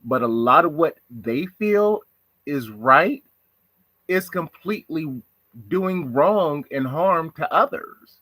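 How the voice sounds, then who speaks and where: A man's voice: on the high side, animated, slow, sharp tone, no room sound, poor audio. A man from the United States giving a reflective monologue on social issues, asking rhetorical questions. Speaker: commentator.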